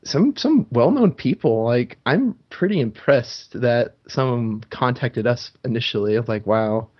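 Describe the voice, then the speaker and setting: A man's voice: mid-range voice, animated, a little slow, breathy edge. A man hosting a gaming podcast in casual chat, talking loosely with frequent ums and restarts. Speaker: podcast host. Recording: dull lo-fi sound.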